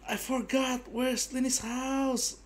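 A young adult man giving casual, playful gaming commentary to his viewers like an excitable showman. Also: very high voice, takes it slowly. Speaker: game streamer. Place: indoor gaming setup at home. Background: game music.

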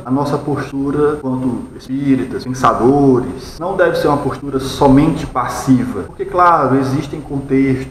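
A Brazilian man in his thirties speaks to camera as a commentator, laying out his points deliberately and didactically. His voice sits at a middling pitch. The room is echoey and reverberant, picked up by a camera mic at a little distance. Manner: didactic, deliberate, uses rhetorical questions. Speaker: video commentator. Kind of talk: explanatory opinion commentary. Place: small bare-walled room indoors. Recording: echoey room with background noise.

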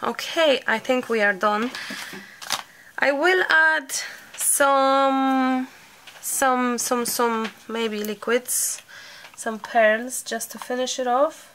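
A woman, slightly hoarse, chatting casually while she works through a crafting tutorial. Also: high voice, drawn-out words, fairly bright tone, a little hiss or hum. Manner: chatty, thinking aloud, light laughs. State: slightly hoarse from a sore throat. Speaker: craft channel host.

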